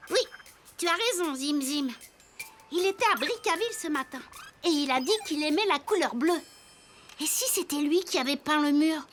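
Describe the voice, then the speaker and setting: A woman's voice: sits high, fairly bright tone. A woman voicing an earnest, cheerful little wooden puppet boy detective in a children's cartoon, with music behind.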